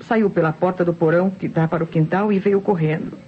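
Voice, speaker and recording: An actress playing a distressed Brazilian widow and mother testifying in an old radio mystery, in a dramatic, halting manner. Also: fairly low pitch, fairly even intonation, close to an everyday voice. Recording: muffled, noisy old radio recording.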